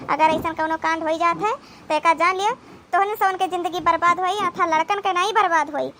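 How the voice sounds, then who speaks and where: A woman's voice: very high voice, brisk, sharp tone, a little hiss or hum, heavily acted. A woman playing a scolding but protective village sister-in-law in an Awadhi comedy cartoon, exclamatory and rustic. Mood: earnest advising. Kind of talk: dramatic comedy cartoon dialogue.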